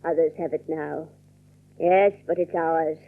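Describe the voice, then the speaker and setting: An actress playing a proud, bitter, frightened old spinster in a radio drama, tremulous and halting. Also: female voice, sits low, fairly even intonation, narrow, tinny audio. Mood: wary, weary. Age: older.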